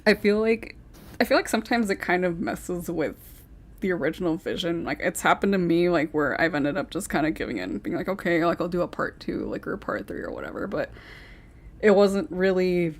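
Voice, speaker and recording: A young woman hosting a casual panel chat, chatty and quick to laugh, sometimes losing her train of thought. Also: lowish voice, expressive. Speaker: panel host.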